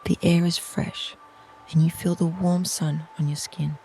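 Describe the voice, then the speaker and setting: A meditation narrator speaking slowly and softly in a soothing, near-whispered delivery, reading a scripted guided visualization. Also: medium pitch, little pitch movement, a little hiss or hum, rough recording. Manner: slow, soft, soothing, breathy near-whisper phrasing.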